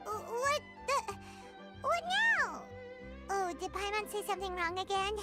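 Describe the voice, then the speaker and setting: A woman voicing a small childlike fairy companion in a video game, chirpy, blunt and excitable, talking about herself in the third person. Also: extremely high pitch, unhurried. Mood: flustered. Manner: refers to self in third person, exclamatory. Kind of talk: video game character acting. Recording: music playing behind the voice.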